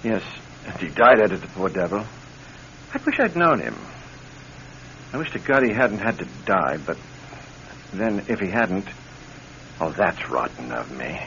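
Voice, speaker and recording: Concerned husband, earnest, swooping intonation, radio drama, muffled recording with faint noise. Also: male voice, deep voice, sing-song, a little slow, long pauses, fairly bright tone, exaggerated voice. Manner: earnest, exclamatory. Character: concerned, devoted husband, wry and plain-spoken. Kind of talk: classic radio drama acting.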